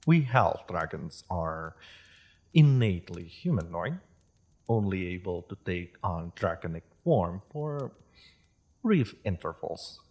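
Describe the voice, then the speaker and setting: A man playing a courteous, wry half-dragon merchant in a fantasy audio roleplay, speaking in a formal, measured, amused manner. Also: low voice, big pitch swings, darkish tone, husky, full character voice. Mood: amused, explanatory. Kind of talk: scripted fantasy audio roleplay addressed to listener.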